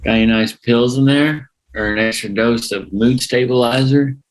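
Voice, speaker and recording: A man's voice: medium pitch, moderate pace, natural voice, low-quality recording. A man playing a laid-back friend who is shy in love, speaking casually and wryly in an acted script reading. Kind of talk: acted table read.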